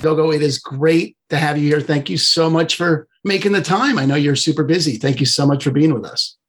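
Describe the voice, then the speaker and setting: A host welcomes a guest and asks loose, rambling questions. The voice sits in a middle pitch and the tone is friendly. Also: brisk, soft background music. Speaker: interview host. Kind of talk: casual interview chat.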